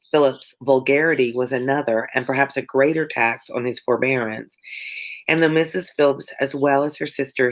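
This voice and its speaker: A woman's voice: a bass voice, poor audio. A woman with a Louisiana Southern accent and a congested, wheezy chest, reading a novel aloud and chatting with viewers as an online tutor. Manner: chatty, stops often to explain words and answer chat. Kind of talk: casual live-stream read-along with chat.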